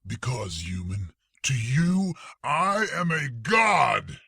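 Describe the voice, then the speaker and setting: A gloating, bloodthirsty male vampire, played by an actor in a radio drama. His raspy, gravelly voice rises and falls theatrically, and he drags out his words. The recording is dry and close. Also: medium pitch, sing-song, slow pace, sharp tone, dry recording, raised voice, full character voice. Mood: menacing. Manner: menacing, theatrical, hissing. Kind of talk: audio drama acting.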